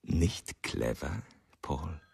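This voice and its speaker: Husky low-voiced sceptical colleague. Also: male voice, animated, very slow, darkish tone, full character voice. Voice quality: husky, gravelly. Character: sceptical, cautious male colleague. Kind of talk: German radio drama acting.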